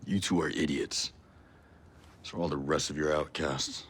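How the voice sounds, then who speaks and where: An actor playing a gruff male special forces soldier in a video game cutscene, blunt and terse. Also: rumbling low, little pitch movement, moderate pace, fairly bright tone, exaggerated voice. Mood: cold.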